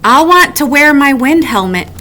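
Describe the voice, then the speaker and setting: A woman's voice: sits high, lively intonation, normal speed, pushed and bright, slight background noise, voice pushed up. A woman voicing an eager, brave female wombat from a storybook, with dramatic delivery.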